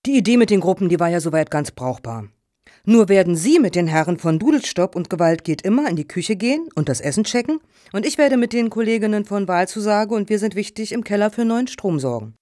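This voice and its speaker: An actress playing an officious foundation official who takes charge in a satirical radio play, firm and businesslike. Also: female voice, medium pitch, lively intonation, brisk, warm tone, no echo, studio quality, lightly acted.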